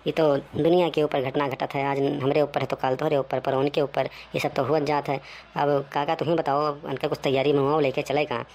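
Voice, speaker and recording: A man's voice: on the high side, flat delivery, a little fast, darkish tone, lightly acted. A man playing a grumbling, melodramatic village husband in a cartoon comedy, with theatrical, exclamatory Awadhi talk. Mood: resigned.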